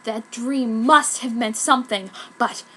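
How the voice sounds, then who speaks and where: Female story reader, high bright voice, fanfiction narration, noisy recording. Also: a little slow. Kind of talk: dramatic comic reading of a story aloud.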